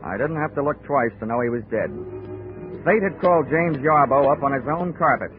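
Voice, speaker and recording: An actor playing a wry, hardboiled investigator with deadpan wisecracks in a 1940s radio drama. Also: male voice, mid-range voice, a little fast, phone-quality sound, soft background music, close to an everyday voice. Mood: wry, tense. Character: wry, hardboiled male insurance investigator narrating his case. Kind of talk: 1940s radio acting, detective narration. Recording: band-limited old radio recording.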